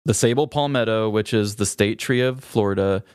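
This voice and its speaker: A man's voice: fairly low pitch, moderate pace, a little mellow, clear, resonant tone, dry recording, clean studio sound. A man co-hosting a podcast in casual comedic banter, with a wry, joking manner. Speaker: podcast co-host.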